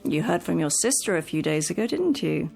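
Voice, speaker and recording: A practical, kindly woman housekeeper in a radio drama. She speaks in a soothing, coaxing way with very lively pitch, over faint music. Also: a little fast, creaky, exaggerated voice. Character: kindly, patient, practical female daily housekeeper.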